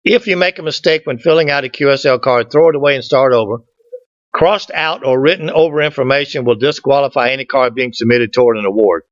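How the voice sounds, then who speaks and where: A man's voice: mid-range voice, fast, no echo. A man from Georgia with a Southern US accent, presenting an informal club class in a folksy, joking way. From Georgia, southern United States. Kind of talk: informal slide lecture with group chat. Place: indoor meeting room, at a lectern. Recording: dull, muffled room recording.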